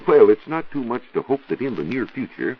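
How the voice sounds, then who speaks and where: An American man giving a scripted 1940s radio science talk as a reporter, didactic and rhetorical in measured phrases. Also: medium pitch, very animated, slightly rough. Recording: dull 1940s radio recording.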